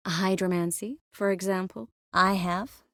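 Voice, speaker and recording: A woman voicing a witty, caring, self-assured young sorceress in a video game, often dropping to soft, intimate murmurs. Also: medium pitch, steady tone, a little slow, no echo, clean studio sound. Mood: conversational, composed. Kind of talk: scripted game character dialogue. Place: close studio recording.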